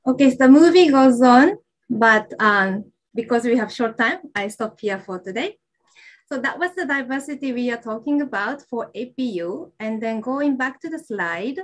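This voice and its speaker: Young woman, Japanese accent, friendly halting presenter, fairly high voice, video call sound. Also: lively intonation. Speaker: university admissions officer. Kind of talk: online information session presentation. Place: indoors, virtual campus backdrop.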